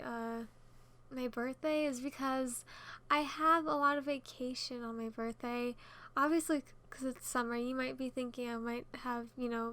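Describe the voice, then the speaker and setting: A young woman of nineteen with a high voice talks casually over a gaming video. She rambles in a chatty, giggly way, often second-guessing herself and wandering off into side remarks. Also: fairly bright tone, clear, resonant tone. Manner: chatty, giggly, self-conscious and digressive. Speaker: YouTube gamer narrating a speed build.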